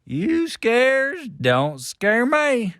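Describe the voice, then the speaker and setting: A woman voicing a gruff, swaggering male chicken rancher with a dismissive drawl. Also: on the high side, wildly swooping pitch, very slow, a bit bright, no room sound, clean studio sound, exaggerated voice.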